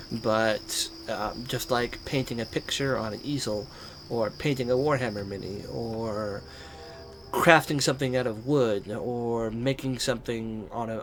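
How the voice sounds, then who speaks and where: A man from the United States with a stuffy, congested voice, casually talking through a video game as host and rambling with many pauses and ums. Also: medium pitch, background noise. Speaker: let's play host. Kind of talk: casual gameplay commentary.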